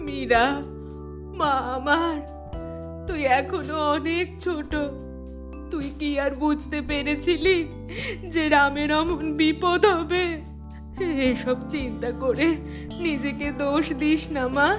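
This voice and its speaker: Woman acting an emotional, slow-speaking cartoon mother. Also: high-pitched, steady tone, over music. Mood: tender consoling. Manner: drawn-out, emotional pleading. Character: loving, grief-stricken village mother of two.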